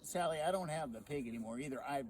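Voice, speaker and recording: A man's voice: fairly high pitch, fast talker, darkish tone, close to an everyday voice. A man voicing a sheepish, easygoing farmer husband who stammers and hesitates, with a noisy recording.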